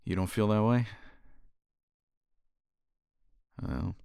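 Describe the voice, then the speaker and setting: A man's voice: extremely low pitch, creaky, dry recording, clean studio sound, heavily acted. A man playing a cocky, weary champion competitor in an intimate romance ASMR roleplay, flirtatious and teasing, with languid sighs and murmured asides. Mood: teasing, confident.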